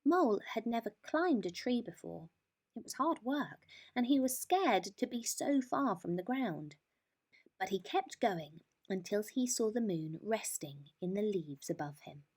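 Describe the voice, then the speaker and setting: A woman narrating a children's bedtime story in an expressive, deliberate manner. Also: medium pitch.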